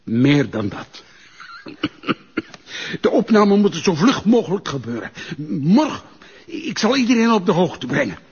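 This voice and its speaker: A man's voice: high-pitched, sing-song, unhurried, pauses often, husky, heavily acted. An actor playing a cold, businesslike male criminal organiser in a radio drama. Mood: cool, satisfied. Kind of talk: Dutch-language radio drama acting. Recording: dull audio with long hall reverb.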